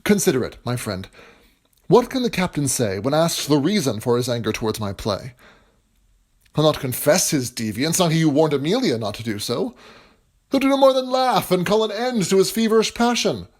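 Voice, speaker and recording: An actor playing a self-assured, eloquent gentleman playwright in a period audio drama. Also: a little high, animated, moderate pace. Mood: confident. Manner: eloquent, composed.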